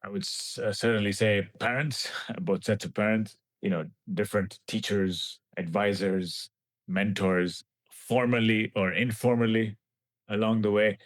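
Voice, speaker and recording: A man in his forties from Kenya, a scientist answering interview questions in a thoughtful, halting way with light laughs. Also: on the low side, steady tone, dry recording. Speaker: scientist guest.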